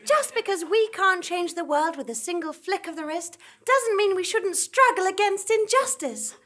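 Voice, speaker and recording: A woman's voice: extremely high pitch, lively intonation, normal speed, a bit bright. A woman acting an idealistic, righteous young heroine in a live stage comedy, earnest and declamatory. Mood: defiant.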